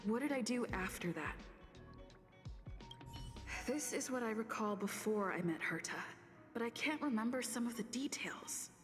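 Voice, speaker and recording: An actress playing an earnest, hazy young heroine in a video game, musing to herself. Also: female voice, on the high side, lively intonation, moderate pace, pauses often, natural voice. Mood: puzzled, musing. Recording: reverberant, music underneath.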